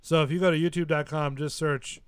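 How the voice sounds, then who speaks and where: A man's voice: on the high side, steady tone, fairly quick, clear, resonant tone, dry recording. A man hosting a casual talk show, rambling and hesitant with lots of "uh" and "sort of". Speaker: host.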